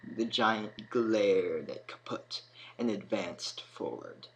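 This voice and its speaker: Slow, low-voiced man narrating a story. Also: a bit bright. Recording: noticeable background noise.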